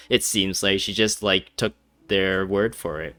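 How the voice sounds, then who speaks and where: A man's voice: deep voice, slow, a bit bright, no room sound. A man giving casual, rambling playthrough commentary as he streams a game, often chuckling as he talks. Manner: rambling, laughs often while talking. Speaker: game streamer playing through a story quest.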